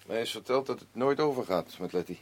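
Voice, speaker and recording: An actor playing a gruff, defensive working-class father in grief, speaking blunt, halting, colloquial lines in a naturalistic radio drama. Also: mid-range voice, animated, close to an everyday voice. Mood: resigned, evasive. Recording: clearly noisy radio play recording with ambience.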